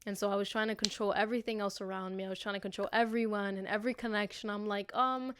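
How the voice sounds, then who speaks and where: A woman's voice: medium pitch, flat delivery, fast, noisy background. A woman hosting a casual motivational podcast, talking informally and affectionately straight to listeners, with slang and free swearing. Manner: affectionate direct address to listeners. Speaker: podcast host. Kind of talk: casual, motivational self-reflection podcast monologue.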